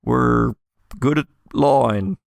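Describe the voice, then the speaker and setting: A man puts on a bumbling small-town deputy for an audio-drama game. He stammers and doubles back, unsure of himself. The voice is creaky, close and dry. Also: medium pitch, slow pace, no echo. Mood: flustered, polite.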